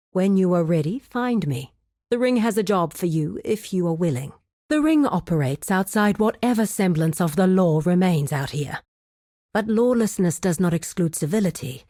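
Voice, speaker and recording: A woman's voice: on the low side, darkish tone, no room sound, clean studio sound. A woman voicing a composed, formal administrator of a criminal guild in a video game, speaking precisely and with cool courtesy. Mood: formal, measured. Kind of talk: scripted video game character dialogue.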